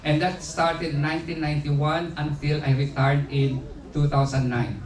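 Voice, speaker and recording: Man, 70s, Filipino accent, pausing speech, distant mic, roomy echo, party noise behind. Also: on the high side, flat delivery. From Philippines. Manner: unhurried, many "uh" pauses and restarts. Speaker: birthday celebrant giving a speech. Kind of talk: personal speech at a family party. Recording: echoey room, far from mic, background noise.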